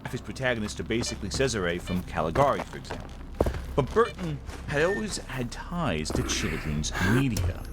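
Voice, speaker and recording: A man's voice: medium pitch, sing-song, moderate pace, noisy background. A man reading scripted video essay narration in an emphatic, explanatory way.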